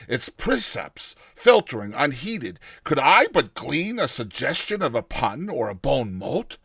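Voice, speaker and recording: Guy doing a dramatic audiobook read, higher-ish voice, really animated pitch swings, dry close recording. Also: dry recording. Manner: theatrical, expressive delivery. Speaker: reader of a classic short story. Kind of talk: dramatized first-person audiobook narration.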